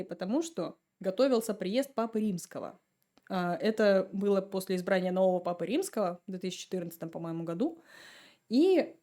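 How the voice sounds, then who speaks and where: Podcast guest: woman, mellow tone, easygoing chatty storyteller. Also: medium pitch. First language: Russian. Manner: relaxed, chatty storytelling with laughs. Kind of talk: casual chat.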